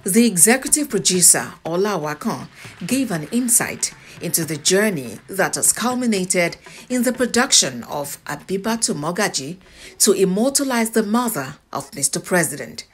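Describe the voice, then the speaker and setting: A woman's voice: lowish voice, very animated, normal speed, soft background music. A woman with a Nigerian accent reading a scripted television news report as a voice-over.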